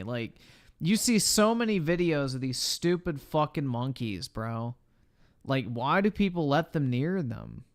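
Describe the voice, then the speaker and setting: A man doing casual live reaction commentary, excitable and profane, with slangy exclamations. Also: mid-range voice, smooth. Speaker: live streamer reacting to videos. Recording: noisy live stream audio.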